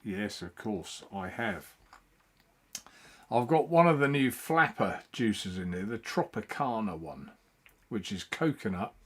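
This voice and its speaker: Chatty man with lively pitch. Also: a little slow, breathy edge. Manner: chatty and rambling, greeting viewers by name. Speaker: live stream host. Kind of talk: casual live-stream chat. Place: at home.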